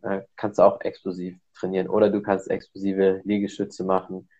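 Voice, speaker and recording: A man's voice: sits low, monotone, a little fast, warm tone, slightly rough, dull audio. A man hosting a casual podcast who explains exercises at length with many fillers. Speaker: podcast host.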